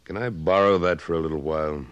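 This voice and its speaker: A hard, blunt cattleman out of an old radio western with a very low voice. He keeps pushing with short, pointed questions. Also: steady tone, fast, clean tone, dull audio. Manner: blunt, pressing. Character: tough male cattle rancher and ex-cavalryman. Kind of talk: 1950s radio drama acting.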